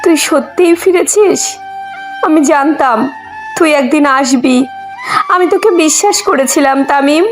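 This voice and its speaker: A woman plays a devoted middle-aged mother in an audio melodrama. Her voice is high, tender and full of feeling, with music playing behind. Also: unhurried. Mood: overjoyed, moved. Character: loving, tearfully devoted mother welcoming her son. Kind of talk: melodramatic audio story acting. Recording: background music under the voice.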